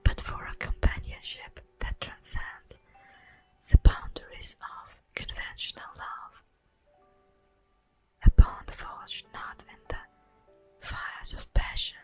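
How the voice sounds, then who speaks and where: A woman playing a solemn, tender elf guardian of the forest in a breathy, whispered ASMR roleplay, speaking formally and poetically. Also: darkish tone, soft background music, natural voice, poor audio. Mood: tender, earnest. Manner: slow, formal, poetic, drawn-out delivery.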